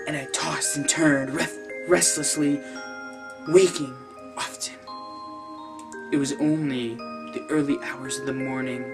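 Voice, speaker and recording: A man reading a novel aloud as a bedtime-story host, in a mock-formal, deliberate way. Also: fairly high pitch. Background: music.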